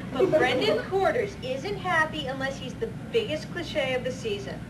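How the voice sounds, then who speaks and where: A woman's voice: high voice, expressive, moderate pace. A woman playing a catty, arch party commentator in a live radio play. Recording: distant mic, noisy crowded hall.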